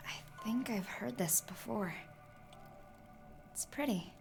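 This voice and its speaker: A woman playing a gentle, wistful teenage girl in a video game, musing softly to herself in wonder. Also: medium pitch, slow pace, vocal fry, whispered, music in the background. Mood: curious, soft.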